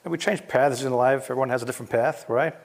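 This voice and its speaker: A man's voice: mid-range voice, fast talker, creaky. A man from South Africa, hosting an interview show, asking probing questions and talking persuasively. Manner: probing, persuasive, talks in long runs. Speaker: talk show host. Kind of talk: casual interview.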